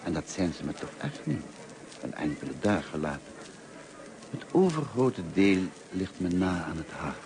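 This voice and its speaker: Radio play casting: elderly schoolmaster, formal and wistful, lively low voice, noisy old recording. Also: male voice, normal speed. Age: older. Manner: formal, courteous, hesitant. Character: gentle lonely elderly male French teacher, courteous, wistful. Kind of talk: radio drama acting.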